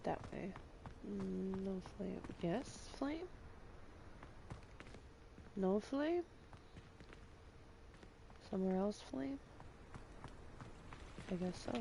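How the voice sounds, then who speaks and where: A raspy, hoarse woman hosting a casual let's-play video, chatting and muttering to herself as she plays. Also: mid-range voice, expressive, very slow, long pauses, warm tone, a little hiss or hum, poor audio. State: hoarse from bonfire smoke. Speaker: gaming video host. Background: game music.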